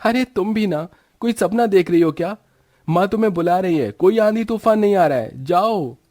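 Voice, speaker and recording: An earnest young newlywed husband in a family moral story, played by a man. His voice is very high for a man, with a mellow tone. He speaks insistently in a dry, echo-free recording. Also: animated, moderate pace. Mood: teasing. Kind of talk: dramatised moral story acting.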